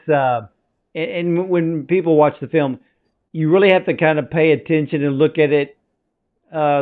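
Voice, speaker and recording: Eager man on a tinny line. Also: a little high. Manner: eager, chatty, restarting sentences. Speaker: film podcast host. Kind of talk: interview questions and chat.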